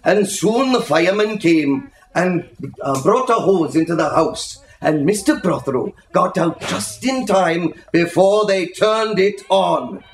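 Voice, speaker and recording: Male literary reader: expressive, theatrical, savours phrases; roomy live recording with faint noise behind. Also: high-pitched, a little slow, hardly any pauses. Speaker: reader, storyteller. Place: live reading in an indoor shop. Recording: echoey room with faint background noise.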